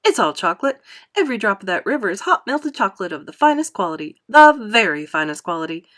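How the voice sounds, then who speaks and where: Woman as eccentric male chocolate maker, boastful, exuberant, highly animated, theatrical storybook delivery. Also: fast. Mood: excited, boastful. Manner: theatrical, emphatic, gushing with repetition.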